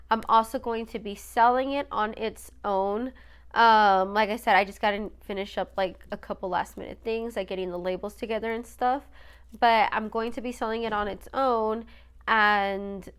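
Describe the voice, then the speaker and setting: A woman's voice: medium pitch, clear, resonant tone. A young woman hosting a solo podcast in a casual, rambling way, full of fillers, her nose stuffy from allergies. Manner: rambling, many fillers and "you guys". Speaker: podcast host.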